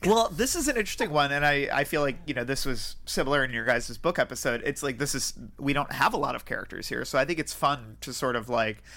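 A man's voice: medium pitch, fast talker, few pauses, bright, forceful tone. A man co-hosting a podcast in casual film discussion, hesitant and often circling back to rephrase. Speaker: podcast co-host.

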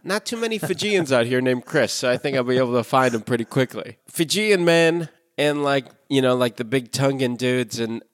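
A man's voice: mid-range voice, animated, moderate pace, hardly any pauses, no room sound, studio quality. A man co-hosting a podcast remotely from a hotel room, talking casually and rambling with lots of fillers. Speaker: podcast co-host. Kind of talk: casual chat. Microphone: remote call from a hotel room.

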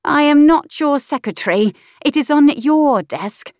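A woman voicing an impudent young mistress in an audiobook, with a high, airy, pert voice. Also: expressive, a little slow, dry recording, studio quality. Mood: indignant. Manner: pert, teasing.

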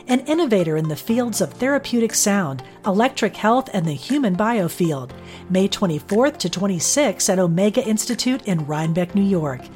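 A woman announcer with a mid-range voice reads a scripted promo smoothly and at a measured pace. Light music plays softly underneath her. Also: medium pitch. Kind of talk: scripted ad read.

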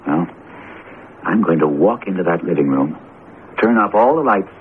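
An actor in a radio drama playing an earnest, determined teacher with deliberate, theatrical phrasing. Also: male voice, deep voice, expressive. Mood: grimly determined. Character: jumpy, determined male teacher confronting a cursed statue. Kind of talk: vintage radio drama acting. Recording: tinny, narrowband old radio broadcast, noisy.

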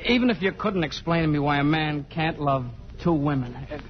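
A man's voice: fairly high pitch, fairly quick, close to an everyday voice. Man acting tipsy, stammering young American corporal. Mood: flustered, grateful. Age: young adult. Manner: hesitant, stammering, rambling. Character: lonesome, love-torn, tipsy young American army corporal. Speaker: radio actor. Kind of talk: 1950s radio drama acting. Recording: muffled vintage radio broadcast with music.

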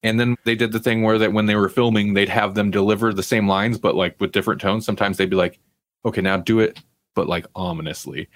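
A man's voice: fairly low pitch, fairly even intonation, fast talker, no room sound. A man hosting a casual pop culture talk show in a wry, conversational way. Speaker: podcast host.

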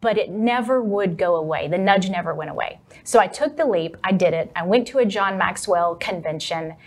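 A woman from Texas, a confidence coach, speaking as an upbeat, animated interview guest. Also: mid-range voice, moderate pace. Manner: upbeat, animated, laughs easily. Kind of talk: interview conversation.

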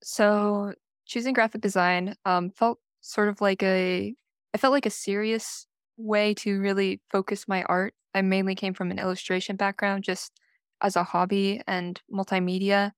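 A young Californian woman speaks thoughtfully about her art studies. Her pitch hardly moves. The sound is dry and close. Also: little pitch movement, moderate pace, clean tone, no echo. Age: young adult. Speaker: design student guest. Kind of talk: casual podcast chat.

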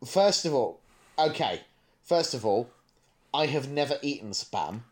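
A man's voice: medium pitch, big pitch swings, measured pace. A man with a British accent, chatting casually as a podcast co-host. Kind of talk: casual chat.

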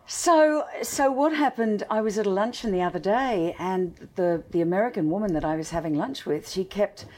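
A woman with an Australian accent tinged with English who interviews her guest and tells lively stories in conversation. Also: medium pitch, normal speed. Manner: lively storyteller, animated. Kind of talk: interview chat.

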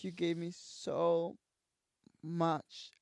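A man's voice: a little high, completely flat delivery, extremely slow, gravelly, darkish tone, clean tone, no echo. Emotional Mexican-accented man telling his story. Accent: Mexican Spanish. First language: Spanish. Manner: emotional, candid, swears freely, says "bro". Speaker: podcast guest. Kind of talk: casual storytelling interview.

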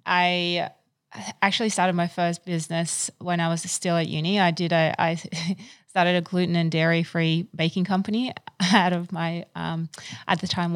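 A woman's voice: fairly low pitch, dry recording. A woman in her thirties from Ukraine, a business owner answering interview questions in a chatty way with many fillers and restarts.

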